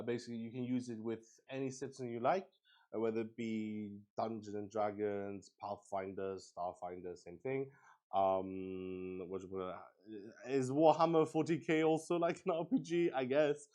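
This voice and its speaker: A man hosting a solo tabletop roleplay livestream, explaining casually in a halting, rambling way as he thinks aloud to his viewers. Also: fairly low pitch, warm tone.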